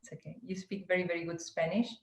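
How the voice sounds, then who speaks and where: A woman's voice: on the low side, level tone, quick pace, a little mellow, reverberant. A woman interviewing a guest in a friendly, affirming way, with frequent hesitant restarts. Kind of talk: conversational interview.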